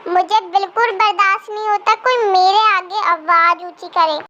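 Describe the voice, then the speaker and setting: A woman voicing a cold, vengeful, domineering businessman with a slow, commanding manner. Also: very high-pitched, fairly even intonation, pushed and bright, lo-fi sound, slight background noise. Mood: stern, cold.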